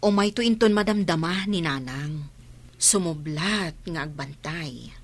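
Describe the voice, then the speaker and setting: A woman's voice: low-pitched, measured pace. A woman with an Ilocano Filipino accent playing a frank, scolding village wife in a radio drama. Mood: matter-of-fact.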